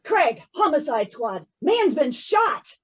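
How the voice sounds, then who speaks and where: A woman acts out a worried homicide inspector in old-time radio style. The voice sits high and swings expressively, insistent and earnest as it warns and reports. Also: very animated, takes it slowly, full character voice. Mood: grim.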